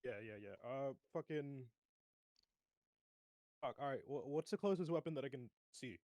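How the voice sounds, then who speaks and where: A man's voice: medium pitch, moderate pace, mellow, dry recording. A man playing a tabletop game, chatting casually and bluntly with plenty of swearing. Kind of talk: casual chat.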